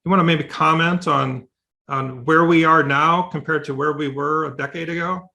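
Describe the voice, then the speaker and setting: Bright-voiced male panel moderator. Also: a little high, steady tone, normal speed, vocal fry.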